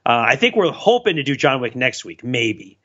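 Male podcast co-host, forceful bright tone, wry and wordy, slightly muffled lo-fi track. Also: medium pitch, sing-song, moderate pace. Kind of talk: casual podcast chat, storytelling. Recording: dull, lo-fi voice track.

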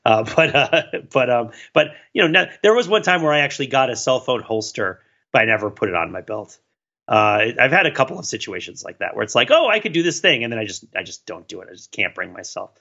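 A man co-hosting a podcast in casual, rambling chat, talking in an animated, self-deprecating and digressive way. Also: medium pitch, quick pace, creaky, lo-fi sound. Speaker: podcast co-host.

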